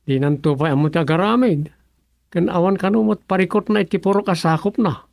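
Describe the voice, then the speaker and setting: An actor in an Ilocano radio comedy drama playing a grumbling, sarcastic village councillor with a rough voice. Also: medium pitch, fairly quick, a little mellow, no room sound, studio quality, close to an everyday voice. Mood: indignant.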